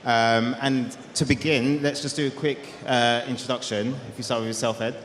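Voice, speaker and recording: A man's voice: mid-range voice, steady tone, moderate pace. A man moderating a conference panel, hesitant with frequent ums. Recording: reverberant hall.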